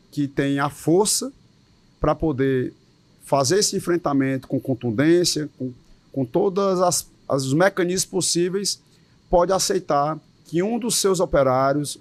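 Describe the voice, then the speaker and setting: Um homem com sotaque cearense, presidente de uma associação de policiais, dá uma entrevista com tom sério e enfático e repete palavras às vezes. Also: male voice, fairly high pitch, no echo. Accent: Cearense Portuguese. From Ceará, Brazil. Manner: earnest, emphatic, with repeated words. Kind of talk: interview answers.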